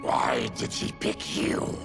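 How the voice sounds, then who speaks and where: An actor voicing a gruff, honorable mutant warrior in a video game, with a rasping, solemn and forceful delivery. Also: male voice, slow, music in the background, lightly acted. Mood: accusing, wary. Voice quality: gravelly, rasping. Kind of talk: dramatic video game cutscene acting.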